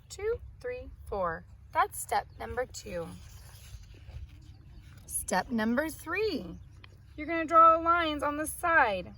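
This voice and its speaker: A woman's voice: sits high, bright, forceful tone, a little hiss or hum. A woman speaking as an elementary teacher to young children, reading aloud and explaining things simply, step by step. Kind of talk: read-aloud and children's art lesson.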